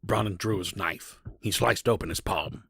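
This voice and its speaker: A man's voice: sits low, normal speed, vocal fry, a bit bright, breathy edge. A man narrating a scripted fantasy story as its narrator.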